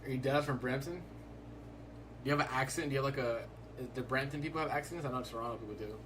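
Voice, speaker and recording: A man's voice: mid-range voice, fast talker, background noise. A young man hosting a live stream, chatting casually in a laid-back, rambling way and filling his talk with "like". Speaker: livestreaming day trader.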